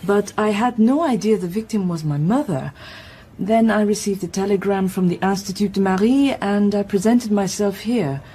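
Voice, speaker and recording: A woman's voice: mid-range voice, normal speed, mellow, breathy edge, lightly acted. A woman playing a polite, reserved young married woman in an audio drama, speaking formally and with composure. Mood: composed.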